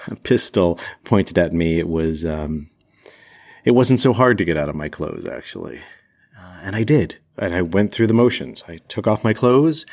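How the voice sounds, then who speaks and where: A man hosting a storytelling podcast, speaking candidly and wryly with deliberate, dramatic pauses. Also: deep voice. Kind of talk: personal storytelling.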